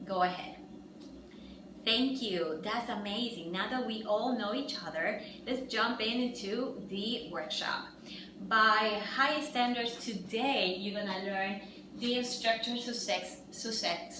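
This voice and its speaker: Lady, pumping up a room of trainees, sounds far from the mic, echoey with noise behind. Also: on the high side. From Ecuador. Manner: upbeat, encouraging, addresses the group directly. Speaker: fitness master trainer. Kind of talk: instructor training workshop.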